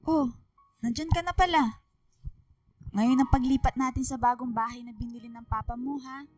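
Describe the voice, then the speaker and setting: Woman as doting middle-aged mom, high-pitched, Filipino accent, chatty and happy, radio drama over music. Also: normal speed, darkish tone, close to an everyday voice. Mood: excited, warm. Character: happy, affectionate middle-aged mother of grown daughter.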